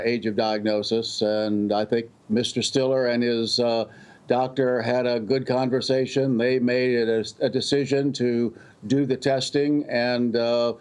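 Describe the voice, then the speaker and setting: Male, medium pitch, formal halting delivery, medical expert guest on TV news. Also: darkish tone, clear, resonant tone. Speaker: doctor, cancer institute director, interview guest. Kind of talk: measured expert interview answers.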